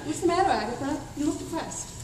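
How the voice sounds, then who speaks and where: A woman's voice: high-pitched, expressive, quick pace. A woman playing a wisecracking, protective sidekick in a comedic radio play, sarcastic and quipping. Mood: wry. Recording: echoey hall, far from mic, faint noise.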